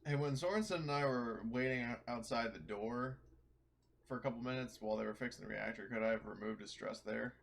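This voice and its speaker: Slightly gravelly man at the gaming table. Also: medium pitch, a little fast, a bit bright. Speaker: tabletop game player. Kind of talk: casual game chat.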